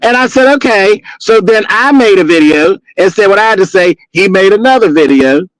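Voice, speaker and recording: Blunt, sassy man in his fifties with a high voice, recorded dry and close. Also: expressive, normal speed, no echo, poor audio. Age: 50s. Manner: sassy, blunt, gossipy with cussing. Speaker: guest on a live stream. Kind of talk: casual chat and storytelling.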